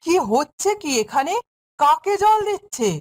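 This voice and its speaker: A woman's voice: high voice, very animated, unhurried. A woman playing a cruel, domineering stepmother in a fairy-tale cartoon, speaking in a harsh, scolding, sneering way. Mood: angry nagging.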